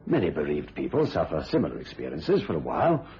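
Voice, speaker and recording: An actor playing a firm, paternal family doctor in a radio thriller, questioning with authority. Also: male voice, extremely low pitch, wildly swooping pitch, quick pace, dark tone, a little hiss or hum, exaggerated voice. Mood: probing, reassuring. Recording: dull lo-fi radio recording.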